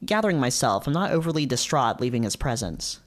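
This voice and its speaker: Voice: medium pitch, very animated, a little fast. Casual streamer reading aloud, mid-range voice. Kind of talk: livestream read-aloud with casual chat.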